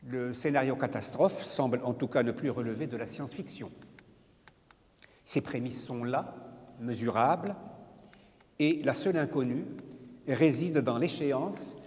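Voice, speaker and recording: An older man from Morocco, not a native French speaker, reading a prepared lecture as a poet in a measured, solemn way. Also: mid-range voice, a little mellow. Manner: measured, solemn, deliberate phrasing. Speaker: poet giving a talk. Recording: reverberant hall, from a distance.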